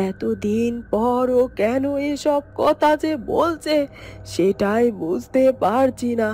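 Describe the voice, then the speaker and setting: A woman's voice: sits high, mellow, a little hiss or hum. A woman voicing a protective mother turned into a bird in a fairytale cartoon, fretting and wondering aloud. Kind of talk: Bengali fairytale cartoon voice acting.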